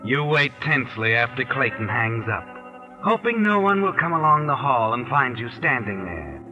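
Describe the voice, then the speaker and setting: An actor playing a knowing, taunting narrator in a 1940s radio mystery, speaking with deliberate suspense. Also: male voice, medium pitch, sing-song, dull audio, loud music behind the voice, lightly acted. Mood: ominous. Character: ominous, knowing male narrator addressing a murderer. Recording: vintage 1940s radio recording.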